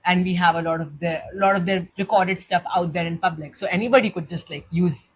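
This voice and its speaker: A woman's voice: on the low side, fairly even intonation, a little fast, breathy edge. Young Indian woman explaining casually. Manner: chatty, leans on "like" while explaining. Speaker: tutorial host. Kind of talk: casual step-by-step demo.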